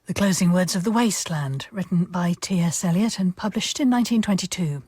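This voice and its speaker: A woman's voice: on the low side, fairly quick, darkish tone, breathy edge, no echo, studio quality. A woman reading scripted narration as an announcer, composed and informative. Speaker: radio announcer.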